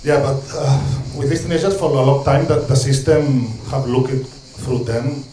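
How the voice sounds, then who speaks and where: A man's voice: mid-range voice, fairly even intonation, normal speed, echoey room, a little hiss or hum, rough recording. A man from Catalonia speaking English with a Catalan-Spanish accent, giving a hesitant, earnest talk as a street social educator from notes. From Catalonia, Spain. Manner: hesitant, many ums and restarts, warm asides.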